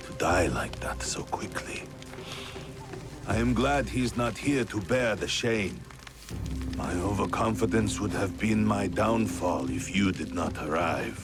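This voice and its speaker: A grave noble samurai lord in a video game. His voice is very deep and his words come slowly and heavily, proud and brooding, over clearly audible music. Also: animated. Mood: somber. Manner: brooding, weighty delivery. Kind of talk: video game cutscene acting.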